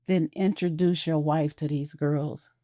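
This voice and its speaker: A woman's voice: sits low, fairly even intonation, unhurried, warm tone, no room sound. A woman voicing a hurt wife in her fifties who speaks wounded and pointed, recorded close and dry. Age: 50s. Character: hurt middle-aged wife, wounded and direct.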